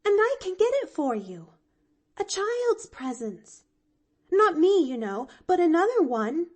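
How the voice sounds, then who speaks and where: A woman's voice: big pitch swings, unhurried, exaggerated voice. A woman reading an audiobook, voicing an eager little girl who stammers and pleads earnestly. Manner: stammering, eager, high and bright.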